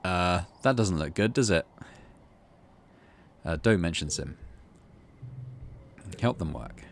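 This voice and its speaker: A man's voice: low voice, lively intonation, slow pace, creaky. A man hosting a gaming video, casually narrating and commenting as he thinks aloud. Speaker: gaming channel host.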